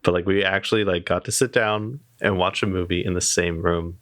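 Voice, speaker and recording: A man's voice: low-pitched, fairly even intonation, brisk, fairly bright tone, no echo, clean studio sound. A young man, a student, co-hosting a podcast in easygoing casual chat. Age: young adult. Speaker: podcast co-host.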